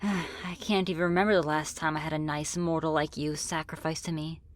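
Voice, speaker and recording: A woman's voice: low-pitched, little pitch movement, fairly quick, natural voice. A woman voicing a friendly, teasing female demon in an ASMR roleplay, with casual, politely menacing delivery. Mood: relaxed, curious. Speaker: roleplay voice performer. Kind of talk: ASMR roleplay, scripted one-sided dialogue.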